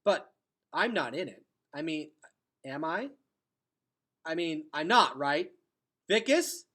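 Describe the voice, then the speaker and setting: A man's voice: fairly high pitch, sing-song, slow pace, pushed and bright, voice pushed up, heavily acted. Man voicing an anxious, blurting young boy hero. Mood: panicked. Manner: casual, blurting questions.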